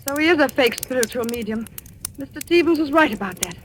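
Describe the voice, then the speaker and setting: A woman's voice: sits high, lively intonation, fast talker. An actress playing a headstrong, suspicious young woman in an old radio mystery, defiant and dramatic. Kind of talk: 1940s radio drama acting. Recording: noisy old-time radio recording.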